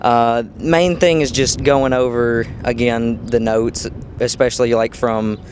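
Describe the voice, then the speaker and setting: A man's voice: mid-range voice, fairly even intonation, unhurried. A young man, a college student, giving a casual, conversational interview answer. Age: young adult. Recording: noisy outdoor recording with traffic.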